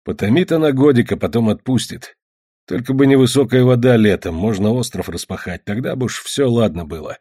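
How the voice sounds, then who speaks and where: A man's voice: mid-range voice, a little fast, warm tone, husky, no room sound, studio quality, natural voice. A man voicing a cheerful, self-assured taiga hunter in an audiobook, talking in a folksy, confident way. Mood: reassuring. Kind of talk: acted audiobook dialogue.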